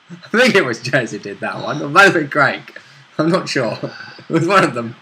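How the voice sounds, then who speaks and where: Jokey guy chipping in on a game recap, bright voice, a little on the high side. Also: expressive, moderate pace, low-quality recording. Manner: jokey, interjecting. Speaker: co-host and player in a tabletop game recap. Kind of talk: casual banter.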